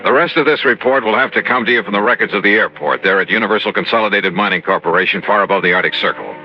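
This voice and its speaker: Quick-talking radio-drama investigator played by a man. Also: fairly low pitch, faint music behind, lightly acted. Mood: dry narration. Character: brisk male insurance investigator, a passenger forced to fly. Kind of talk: 1950s radio drama acting. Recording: dull, noisy old radio recording.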